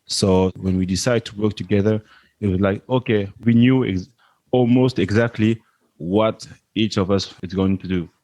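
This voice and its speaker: A man's voice: low voice, darkish tone, lo-fi sound. A man who speaks English as a second language, talking casually as a podcast guest. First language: not English. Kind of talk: casual interview talk.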